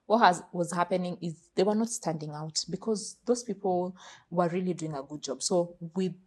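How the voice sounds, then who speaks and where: A woman's voice: fairly low pitch, moderate pace, darkish tone. A woman with a Kenyan accent answering interview questions, explaining her work at length in an earnest, matter-of-fact way. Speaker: guest, virtual assistant business owner.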